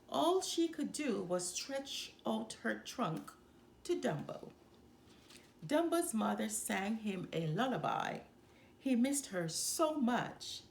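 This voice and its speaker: A woman reading a children's story aloud as a storyteller, with expressive, deliberate delivery. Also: mid-range voice, creaky, slight background noise. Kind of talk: expressive read-aloud narration.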